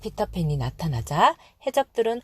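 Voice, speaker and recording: Animated woman reading a children's storybook. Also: lowish voice, moderate pace, breathy edge, dry recording. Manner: expressive, dramatized phrasing for young listeners. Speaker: storybook narrator. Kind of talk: scripted children's storybook narration.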